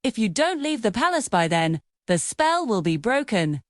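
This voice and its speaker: A woman voicing a kind, gentle fairy godmother in a children's storybook, with soft, reassuring speech. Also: on the high side, very animated, moderate pace, no echo, studio quality. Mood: gentle warning.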